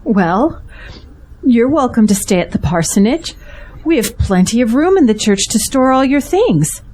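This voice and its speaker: A woman plays a troubled pastor's wife in an audio drama. Her voice sits fairly high with a mellow, soft-edged tone, carrying worry for her husband and gratitude to friends. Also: very animated, measured pace, slight background noise, low-quality recording. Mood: weary, sincere. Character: distressed, grateful pastor's wife fearing for her jailed husband.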